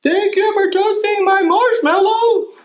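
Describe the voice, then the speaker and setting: A woman voicing small, playful storybook characters with squeaky, eager exclamations. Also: unhurried, echoey room, distant mic, dull audio. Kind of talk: picture-book character voice.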